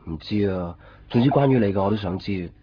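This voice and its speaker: A man acting an earnest, curious young boyfriend in a Hong Kong Cantonese radio drama, soft-spoken and hesitant. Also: fairly low pitch, brisk, slight background noise, natural voice. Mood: tentative, curious. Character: earnest, curious young boyfriend, unsure about his secretive girlfriend. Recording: muffled 1990s radio drama, background music.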